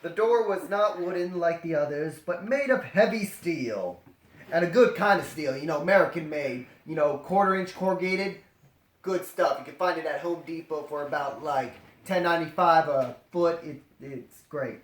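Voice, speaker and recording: A man's voice: a little high, moderate pace. A man reading a story aloud as comedy, breaking off into jokey, dramatized ad-libbed asides. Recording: roomy echo, faint noise and clicks.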